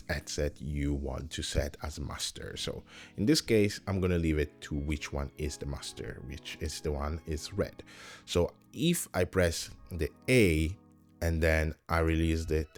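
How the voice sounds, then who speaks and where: A man's voice: a bass voice, darkish tone. A man giving a casual, explanatory product demo, often repeating points and checking in with the viewer. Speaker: tech product reviewer.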